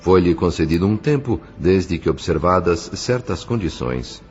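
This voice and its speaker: Deep-voiced Brazilian man narrating solemnly. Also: animated, unhurried, darkish tone, muffled recording, soft background music. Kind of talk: scripted literary narration of an audio novel.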